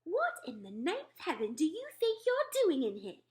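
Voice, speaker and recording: A woman's voice: very high-pitched, wildly swooping pitch, moderate pace, a little mellow, voice pushed up, heavily acted. A woman voicing an indignant, flustered middle-aged maid in a storybook, with emphatic, scolding exclamations. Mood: angry, scolding. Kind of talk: storybook read-aloud.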